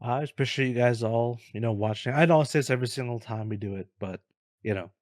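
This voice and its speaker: Mid-pitched man chatting about games, dry sound. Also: fast, a little mellow, dry recording. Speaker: co-host of a gaming news show. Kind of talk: casual chat.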